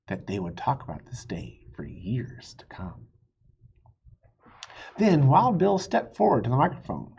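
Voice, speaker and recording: A man reading a children's book aloud in an expressive, animated way, pausing to explain things to young listeners. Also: on the high side, vocal fry, slightly rough, muffled recording. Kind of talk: expressive storybook read-aloud with asides.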